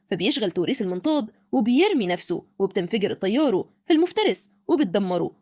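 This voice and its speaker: A woman's voice: on the high side, a little mellow, no room sound, studio quality. A woman with an Egyptian Arabic accent narrating a scripted recap of a film.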